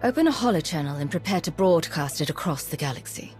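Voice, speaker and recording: An actress playing a steely alliance commander and wounded lover in a dramatic video game, earnest and measured. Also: female voice, low voice, animated, a little mellow, soft background music.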